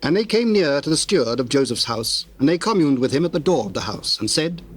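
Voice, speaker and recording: A man narrating scripture in a formal, measured storytelling manner. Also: on the high side, very animated. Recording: noisy old cassette tape.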